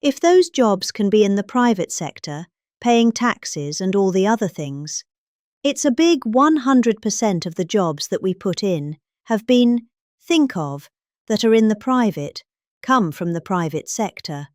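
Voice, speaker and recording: A middle-aged British woman reads out a political speech as a performance. Her pitch swings up and down with exaggerated emphasis on key words. The recording is dry and close, with no room sound. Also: very animated, measured pace, a little mellow, dry recording. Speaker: reader. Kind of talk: dramatic reading of a political speech.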